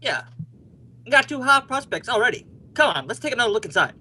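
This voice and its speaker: Brash, animated young husband, bright forceful voice. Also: male voice, extremely high pitch, quick pace, breathy edge, heavily acted. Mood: confident, brisk. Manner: emphatic, exasperated, blustering. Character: brash, stubborn, excitable young husband selling a house. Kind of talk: old-time radio comedy acting.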